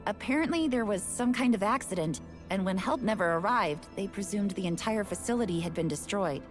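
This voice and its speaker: A woman's voice: mid-range voice, expressive, fairly quick, lightly acted. An actress voicing a casual, resigned woman station worker in a video game. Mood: offhand. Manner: casual, offhand, matter-of-fact. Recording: game audio with faint music.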